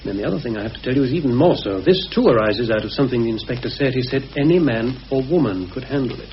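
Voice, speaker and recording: British radio-play conspirator, played by a male actor: grave, careful, hesitant, medium-pitched, on a hissy old recording. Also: lively intonation, fast, highs cut off, natural voice. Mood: grave, uneasy. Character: anxious, cautious male conspirator confiding a grave suspicion.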